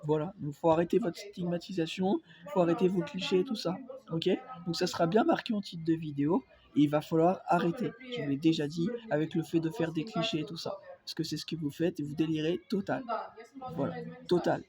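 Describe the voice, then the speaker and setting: A man's voice: fairly high pitch, fairly quick, a crowd in the background. A young man with a cold, rambling through an opinion vlog full of fillers and insistent repeats. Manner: hesitant, many fillers, insistent. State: has a cold. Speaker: vlogger.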